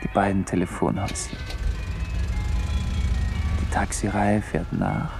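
A man's voice: deep voice, level tone, a little slow, creaky, music in the background. A man reading literary scripted narration in a detached, observational manner for a radio play.

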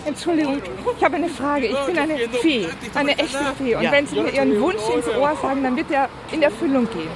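Casual woman amid loud crowd noise. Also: sits high, a little fast, few pauses. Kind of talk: spontaneous casual talk.

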